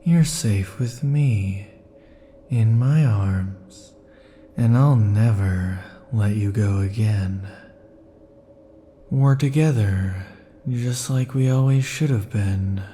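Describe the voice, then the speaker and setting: A man's voice: fairly low pitch, slow pace, pauses often, a little hiss or hum. A man as an obsessive immortal lover. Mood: tender possessive. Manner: soft, sighing, lingering murmur. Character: obsessive clingy immortal male lover, possessive. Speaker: ASMR roleplay performer. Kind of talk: ASMR roleplay.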